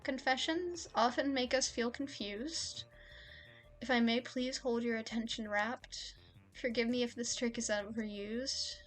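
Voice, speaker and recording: A woman voicing a nervous, lovesick man in an audio story, with a halting, stammering, anxious way of talking. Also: fairly high pitch, steady tone, faint music behind. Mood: earnest, reciting.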